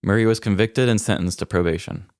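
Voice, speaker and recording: A man's voice: lowish voice, quick pace, a little mellow, clean tone, dry recording. A man co-hosting a podcast in casual, informal chat, laid-back with dry jokes. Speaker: podcast co-host.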